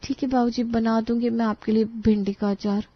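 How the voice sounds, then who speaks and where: Brief: woman voicing an exasperated young bride, high voice, dull mic. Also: little pitch movement, brisk, dry recording. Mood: polite. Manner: complaining, frantic. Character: young daughter-in-law, exasperated and whiny. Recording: dry, slightly muffled studio voice.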